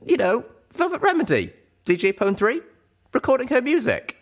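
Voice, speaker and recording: A man's voice: very high voice, huge pitch swings, normal speed, voice pushed up, full character voice. A man voicing an elegant, teasing female unicorn singer in an audiobook, with a coy, theatrical, purring delivery. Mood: disbelieving.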